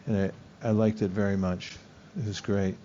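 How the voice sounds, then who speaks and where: An older man leading a casual conversational interview with youth at a retreat, asking quick, curious questions. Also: on the low side, level tone, dark tone. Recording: dull lo-fi recording with faint noise.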